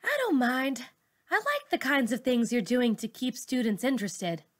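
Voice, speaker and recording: A woman reading a children's novel, voicing a supportive, easygoing female teacher who speaks frankly, with animated, emphatic encouragement. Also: sits high, normal speed, pitched above the performer's own voice. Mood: surprised, encouraging. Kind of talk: children's novel read-aloud dialogue.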